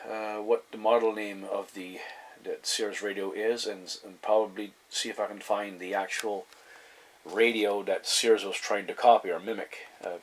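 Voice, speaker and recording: A man giving a casual, unscripted show-and-tell in a halting, meandering way, often repeating himself. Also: sits low, rough recording. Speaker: hobbyist collector presenting his radio. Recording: clear background noise, room ambience.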